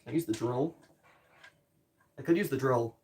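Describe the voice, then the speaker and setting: A man chats casually on a livestream while he works with his hands, half muttering to himself. His voice is medium in pitch, and his manner is wry and self-deprecating. He sounds a bit away from the microphone, with faint noise behind him. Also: moderate pace, a little mellow. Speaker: streamer building DIY projects. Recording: distant mic with background noise, clicking.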